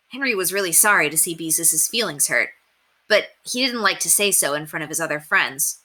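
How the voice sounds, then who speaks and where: A woman reads a children's novel aloud with an animated delivery. Her tone is strikingly bright and her pitch moves freely, giving the narration an emphatic storytelling shape. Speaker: story reader.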